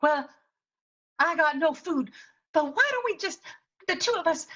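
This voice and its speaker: A woman's voice: extremely high pitch, lively intonation, unhurried, sharp tone, full character voice. A woman playing a ditzy, affectionate, lovelorn young showgirl in an improvised period radio soap, breezy and guileless, on dull audio.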